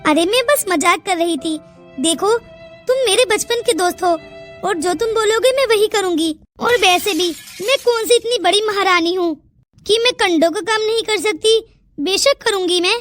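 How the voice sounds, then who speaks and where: A woman's voice: very high voice, moderate pace, fairly bright tone. A woman voicing a poor, plaintive young village woman in an emotional cartoon moral story. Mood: amused, willing. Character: poor, tearful but willing young village woman, Payal. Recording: music behind the dialogue.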